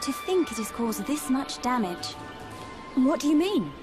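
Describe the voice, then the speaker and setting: Woman as proper young noble lady in a game, fairly high voice, reserved n formal, music behind. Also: animated, normal speed, lightly acted. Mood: concerned. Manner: formal, precise wording. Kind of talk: video game cutscene acting.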